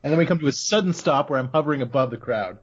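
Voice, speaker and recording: A man's voice: medium pitch, animated, racing. Casual guy playing a tabletop game, lo-fi.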